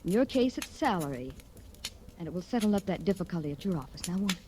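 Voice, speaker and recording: An actress playing a wealthy, persuasive wife in a 1940s radio drama, with poised, insistent, deliberate phrasing. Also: female voice, on the low side, big pitch swings. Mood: commanding, persuasive. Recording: slightly noisy old radio recording.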